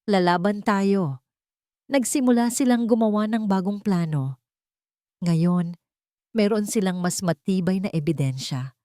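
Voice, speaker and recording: A woman's voice: medium pitch, animated, a little slow, mellow, dry recording, studio quality. A woman reading a scripted romantic drama as a story narrator.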